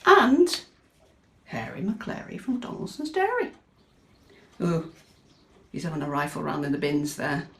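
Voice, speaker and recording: A British woman teacher reading a picture book aloud to young children, expressive and chatty, often asking her listeners questions. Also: deep voice, unhurried, pauses often, slight background noise. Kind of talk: animated children's storytime read-aloud with chatty asides. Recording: echoey, reverberant room.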